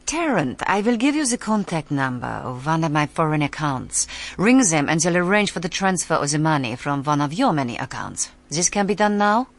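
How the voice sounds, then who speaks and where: An actress playing a cool, scheming woman in a radio drama, speaking with deliberate, insistent phrasing and dramatic pauses. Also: sits low, sing-song.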